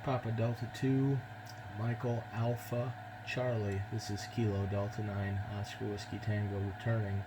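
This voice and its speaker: A man's voice: on the low side, flat delivery, normal speed, hardly any pauses, noisy background. An American man chatting casually as an amateur radio operator, speaking politely and a little hesitantly. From United States. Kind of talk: casual ham radio contact.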